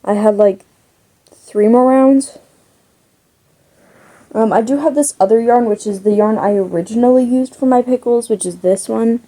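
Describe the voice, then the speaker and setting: A woman's voice: a little high, normal speed, pauses often, warm tone. A young woman chatting casually as a crochet vlogger, rambling with lots of fillers. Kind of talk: casual chat.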